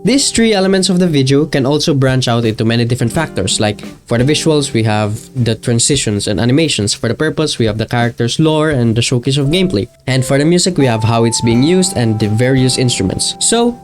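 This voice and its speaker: Male video essayist, mid-pitched voice, informal and self-deprecating delivery, soft plucked-guitar music underneath. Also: lively intonation, a little fast, few pauses. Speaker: video essayist, channel host. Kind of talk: casual scripted video commentary. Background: guitar and plucked-string music.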